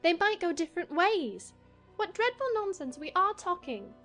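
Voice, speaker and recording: The earnest, very high-voiced young heroine of a children's audio play, reasoning politely over music woman acting. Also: sing-song, moderate pace, fairly bright tone, exaggerated voice. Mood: doubtful. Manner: earnest, logical, faintly exasperated. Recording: music behind the voice.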